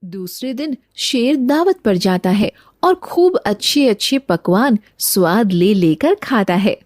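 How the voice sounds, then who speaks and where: A woman's voice: mid-range voice, animated, takes it slowly, a little mellow, dry recording, studio quality. A woman reading scripted narration for a children's moral story.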